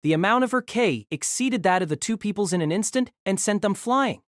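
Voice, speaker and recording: A woman's voice: medium pitch, expressive, brisk, no room sound, clean studio sound. A woman narrating a novel in a scripted, dramatic storytelling style.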